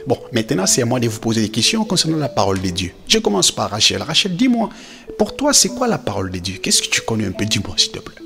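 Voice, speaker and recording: A man's voice: medium pitch, wildly swooping pitch, fast talker, vocal fry, sharp tone, faint music behind, full character voice. A man playing a devout, proud, affectionate father who teaches his children, effusive and encouraging, repeating phrases for emphasis. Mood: instructive.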